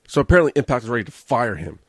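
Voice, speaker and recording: A man's voice: mid-range voice, sing-song, racing, no room sound, clean studio sound. An American man hosting a casual solo review show, rambling through his opinions in a sardonic way. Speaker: host of a wrestling review show. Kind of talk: casual solo commentary on wrestling shows.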